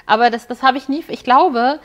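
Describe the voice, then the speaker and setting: A woman's voice: sits high, fairly quick, a bit bright, lo-fi sound. A middle-aged woman from Germany chatting enthusiastically as an interview guest, a podcast host and music journalist. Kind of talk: lively interview chat. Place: small indoor talk-show set, seated at a table.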